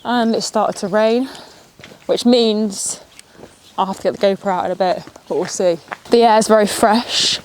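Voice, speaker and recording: A young woman with a Nottingham accent, sniffly and a bit nasal, chatting excitedly as a travel vlogger while out walking. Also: mid-range voice, a little slow, slight background noise. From Nottingham, England. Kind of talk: chatty walk-and-talk vlog. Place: outdoors on a countryside walk, rain.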